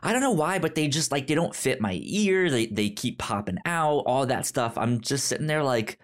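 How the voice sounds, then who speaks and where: A man co-hosting a podcast in casual comedic chat, telling stories in a rambling, animated way with stammered repeats and acted-out quotes. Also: medium pitch, normal speed. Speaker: podcast co-host.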